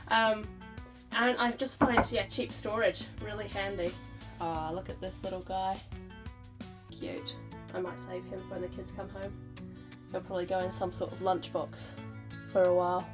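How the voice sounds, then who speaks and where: A woman in her thirties talks her way through a gardening vlog, chatty and rambling, her voice nasal and stuffy from a cold. She sits at a middling pitch, with faint music under her. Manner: chatty, rambling, frequent ums. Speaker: gardening vlogger. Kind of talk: casual vlog chat. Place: backyard greenhouse.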